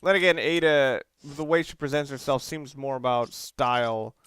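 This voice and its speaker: A man's voice: medium pitch, steady tone, moderate pace, fairly bright tone, clear, resonant tone, no echo. A man giving casual, hesitant video game commentary with wry, self-deprecating asides. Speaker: video game let's player. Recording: game music and gunfire behind. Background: game music, gunfire.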